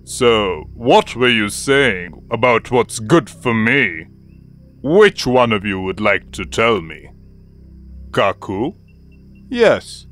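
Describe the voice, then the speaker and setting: A man's voice: fairly low pitch, big pitch swings, takes it slowly, fairly bright tone, soft background music, full character voice. A man voicing a haughty, menacing lion king in a cartoon, sly and mockingly polite. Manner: mocking, drawling mock politeness. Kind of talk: cartoon voice acting.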